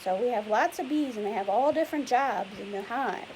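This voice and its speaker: Lady w a highish voice doing a kids read aloud, explains stuff simply, bit of hiss in the background. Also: brisk, darkish tone, low-quality recording. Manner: patient, explanatory, with questions to the listener. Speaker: teacher reading a picture book aloud to children. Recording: clear background hiss and noise.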